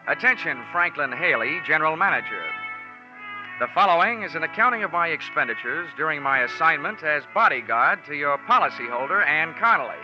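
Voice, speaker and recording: A male actor plays a hard-boiled American private investigator from old-time radio. He narrates drily and tosses off deadpan wisecracks. The sound is dull and dated, with clear music in the recording. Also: fairly high pitch, a little fast, natural voice. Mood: wry. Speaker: lead actor narrating as the detective. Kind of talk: 1940s radio drama acting, first-person narration.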